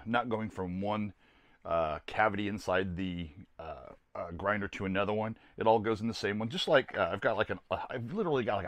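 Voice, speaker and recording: Casting: older American male vlog host, deepish voice, rambling everyday delivery, very little room sound. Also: dry recording. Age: older, around 60s. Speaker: host of a camping gear video series. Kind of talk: casual product review to camera. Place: small camper trailer interior.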